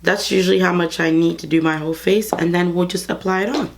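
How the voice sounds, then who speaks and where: Congested young woman vlogger, lowish voice, casual chatty beauty review to camera. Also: fairly even intonation, fast talker, creaky, a little hiss or hum. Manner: chatty, talks straight to viewers. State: congested, under the weather. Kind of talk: casual makeup review and demo. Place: bedroom studio with clothing rack.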